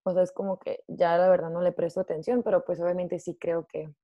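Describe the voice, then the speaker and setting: A woman's voice: lowish voice, level tone, a little fast, no room sound, muffled recording. A young woman from Mexico with a Mexican accent, chatting casually and reflectively, full of fillers. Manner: chatty, many fillers like "o sea" and "pues". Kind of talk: casual conversation.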